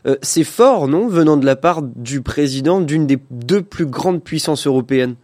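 Higher-voiced male radio host. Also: lively intonation, normal speed, darkish tone. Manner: questioning, hesitant with fillers. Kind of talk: radio interview.

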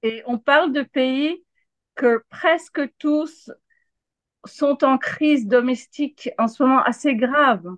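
A woman from Argentina who grew up speaking answers as an interviewed journalist in a dry, close sound. She takes her time, hesitating as she looks for words and doubling them for emphasis. Her voice sits fairly high. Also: takes it slowly, no room sound. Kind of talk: live interview analysis.

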